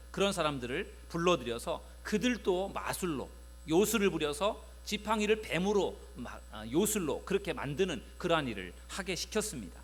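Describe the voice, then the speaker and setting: A male pastor giving a Sunday sermon in a conversational way, often pausing on filler sounds while he retells a Bible story to the congregation. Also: on the high side.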